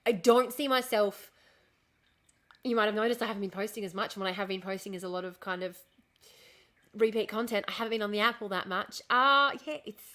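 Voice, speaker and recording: A young woman chatting on a casual live stream, ranting and swearing freely while her voice is going hoarse. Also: medium pitch, a little fast, a bit bright. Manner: ranting, lots of swearing and restarts. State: losing her voice, coughing. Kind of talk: casual live-stream chat reading comments.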